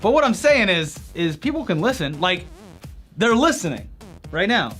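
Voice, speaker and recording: A man's voice: high voice, big pitch swings, normal speed, a bit bright, soft background music. A man co-hosting a comedy news show, giggly and incredulous in casual banter.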